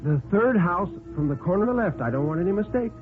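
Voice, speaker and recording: A man's voice: high voice, expressive, fast talker, voice pushed up. An American man voicing a gossipy, spiteful young society woman in a 1950s radio play, in a gushing, exclaiming way. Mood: urgent gossip. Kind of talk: 1950s radio drama acting. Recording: vintage radio recording, phone-like band, music behind.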